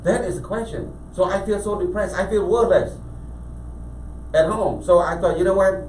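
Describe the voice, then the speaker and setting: A man from Cambodia with a Cambodian accent, a business owner telling his story as a guest in a casual interview, animated and full of restarts. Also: sits high, moderate pace. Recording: echoey room, mic at a distance, faint background noise.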